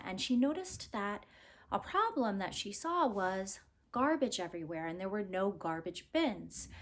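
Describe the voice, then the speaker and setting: Slow, lively woman reading aloud. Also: medium pitch. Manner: deliberate, expressive storytelling delivery. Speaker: teacher reading aloud to students. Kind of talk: expressive read-aloud of a children's picture book.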